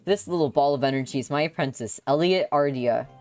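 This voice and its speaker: A woman's voice: low voice, a little fast, a little mellow, natural voice. A woman reading a visual novel aloud as a young witch who runs a bakery, patient and mildly exasperated. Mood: fondly exasperated.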